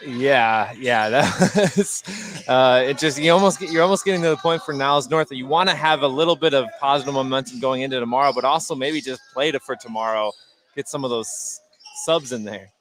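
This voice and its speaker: An American man giving casual, halting basketball analysis as a radio colour commentator. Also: medium pitch, fairly bright tone. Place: indoor high school basketball game. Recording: live gym broadcast with background noise.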